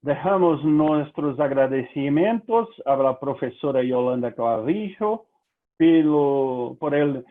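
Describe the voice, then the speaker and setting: A man's voice: mid-range voice, animated. A man from Brazil speaking Spanish with a Brazilian Portuguese accent, giving a formal lecture and mixing in Portuguese words. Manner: slow, deliberate, mixes Portuguese into Spanish. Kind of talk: formal lecture over video call. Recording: tinny phone-like audio.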